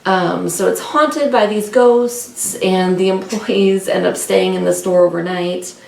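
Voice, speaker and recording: Gravelly-edged woman chatting casually in a roomy space. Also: medium pitch, steady tone, slow pace. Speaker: co-host of a book discussion vlog. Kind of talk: casual unscripted book chat. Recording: roomy, distant mic with faint noise.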